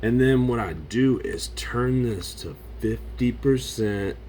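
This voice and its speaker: A man in his forties, hoarse from singing, rambling in an unscripted vlog from his home computer setup. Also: medium pitch, slow pace, dark tone, slight background noise. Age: 40s. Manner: rambling, loose, self-interrupting. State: raspy from singing. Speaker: vlogger. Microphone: home studio mic through audio interface.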